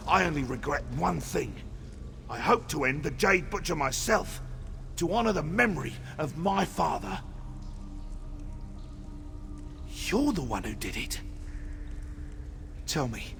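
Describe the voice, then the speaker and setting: An actor playing a bitter, vengeful rebel commander in a fantasy video game, speaking in a theatrical, impassioned way. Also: male voice, sits high, gravelly, bright, forceful tone, slight background noise, heavily acted. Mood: bitter, grieving. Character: bitter, vengeful male rebel commander grieving his father.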